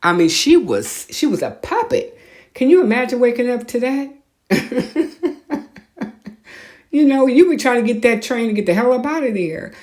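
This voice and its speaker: A woman with an American accent hosts a casual podcast monologue, talking in an animated, opinionated way with blunt slang. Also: on the high side, moderate pace, pauses often. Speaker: podcast host.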